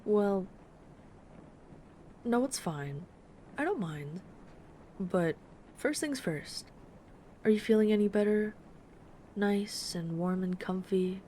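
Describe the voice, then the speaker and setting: A woman playing a gentle, affectionate dragon friend in an intimate comforting audio roleplay, speaking softly and tenderly to the listener. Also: medium pitch, lively intonation, a little hiss or hum. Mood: caring, reassuring. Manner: soft-spoken, tender, unhurried reassurance. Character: gentle, affectionate female dragon friend who loves soft, cuddly plushies.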